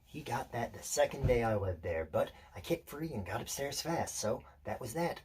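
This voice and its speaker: A man acting out a theatrical monologue as an intense, unsettled loner, confiding and deliberate, pausing and correcting himself. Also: mid-range voice, expressive, darkish tone, breathy edge. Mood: confiding, edgy. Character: intense, unsettled male loner telling a rambling story.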